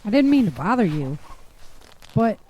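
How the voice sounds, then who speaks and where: A woman's voice: medium pitch, very animated, normal speed, dark tone, natural voice. A woman playing a determined, restless survivor in an audio drama. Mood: polite, restless. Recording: clearly noisy, clicks and farm animal sounds.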